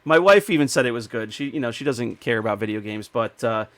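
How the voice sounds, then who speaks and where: A man in his forties with a mid-pitched voice chats as a podcast host. He reminisces in an informal, conversational way, recorded dry and close. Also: expressive, fast talker, a bit bright, dry recording. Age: 40s. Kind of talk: casual group chat.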